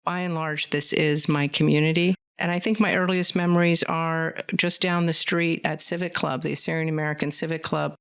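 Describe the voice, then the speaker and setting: A woman's voice: low voice, little pitch movement, brisk, few pauses, clear, resonant tone. A woman from California's Central Valley, a community foundation leader, talking earnestly and at length as a guest in a podcast studio. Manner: fluent, earnest, rambling storyteller. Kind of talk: conversational interview.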